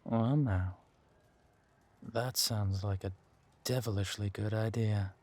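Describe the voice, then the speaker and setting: Man playing a slow, commanding villain king. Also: on the low side, fairly even intonation, a little mellow. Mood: seductive, commanding. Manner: drawling, theatrical, commanding. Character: gloating, menacing villain usurper king, seductive and commanding. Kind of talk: dramatic roleplay audio acting.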